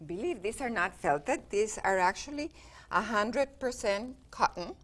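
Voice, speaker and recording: A woman from Costa Rica, a guest artist, answering interview questions in a calm, articulate way. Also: mid-range voice, measured pace.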